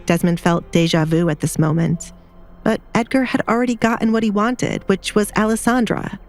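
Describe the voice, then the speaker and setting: A woman's voice: medium pitch, moderate pace. A woman narrating a scripted dramatic story as an audiobook narrator. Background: background music.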